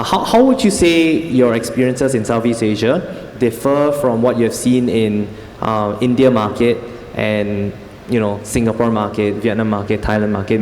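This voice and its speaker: A man's voice: lowish voice, a little mellow, a little hiss or hum. A man hosts a fireside chat in a large conference hall. He talks casually and keeps restarting his sentences, and long reverb trails after each phrase. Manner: casual, with many fillers and restarts. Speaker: panel moderator.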